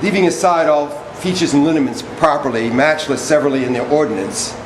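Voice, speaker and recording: A man playing a servile, wordy orator in a stage drama, reciting poetic text in a halting, deliberate, declamatory way. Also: a little high, background noise, lightly acted. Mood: solemn, descriptive. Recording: echoey room with music behind.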